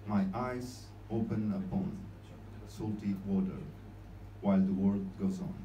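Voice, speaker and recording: A young man at a small open-mic night, introducing and reciting poems in a hesitant, measured way, recorded on a handheld mic from out in the audience. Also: fairly low pitch, recorded from a distance. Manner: hesitant, many fillers when explaining. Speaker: poetry reader. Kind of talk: poetry reading at an open mic. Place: small cozy room with string lights, indoors. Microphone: handheld mic, filmed from far in the audience. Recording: echoey room recorded from afar, noisy.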